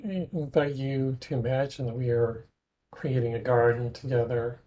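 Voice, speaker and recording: A man guides a meditation in a very soft-edged, dark and mellow voice at a middling pitch. He speaks gently and in measured phrases, leaving plenty of space between them as he invites the listener to imagine. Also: steady tone, lo-fi sound. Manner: gentle, measured, with long pauses.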